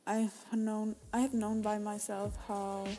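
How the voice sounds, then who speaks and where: A woman's voice: mid-range voice, level tone, slow pace, mellow, slight background noise. A young Czech woman with a Czech accent, hosting a solo podcast and talking in a rambling way, full of fillers and restarts. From Czech Republic. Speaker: podcast host.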